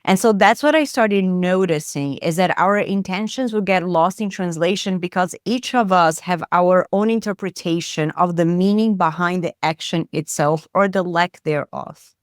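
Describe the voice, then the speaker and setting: A woman's voice: fairly low pitch, moderate pace, no room sound, studio quality. A woman with a Brazilian accent, a psychotherapist explaining her ideas thoughtfully in an interview, with many fillers. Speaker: psychotherapist guest. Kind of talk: interview conversation.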